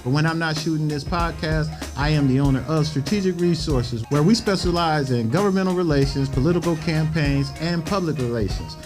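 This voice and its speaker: A man's voice: on the high side, over music. Laid-back man hosting. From Cleveland, Ohio. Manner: easygoing, slangy, drawn-out "man" tags. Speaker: podcast host. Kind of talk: casual interview chat. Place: studio.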